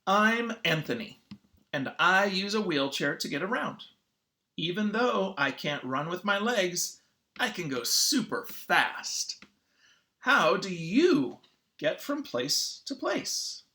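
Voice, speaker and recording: Very animated children's story reader with a low-pitched voice. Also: takes it slowly. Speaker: teacher reading a picture book aloud. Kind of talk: children's read-aloud narration.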